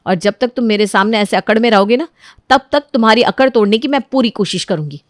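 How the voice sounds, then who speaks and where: A woman's voice: a little high, quick pace, dry recording, clean studio sound, close to an everyday voice. A woman voicing a proud, scheming young daughter-in-law with a falsely sweet, insistent manner in a moral story. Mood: haughty threat. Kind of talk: Hindi moral story character acting.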